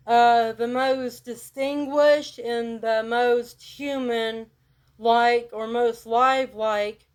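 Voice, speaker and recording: A woman with a rural American country accent talking to camera in a blunt monologue, keeping her delivery flat and robot-like on purpose. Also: on the high side, smooth. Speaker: vlogger. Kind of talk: casual direct-to-camera monologue.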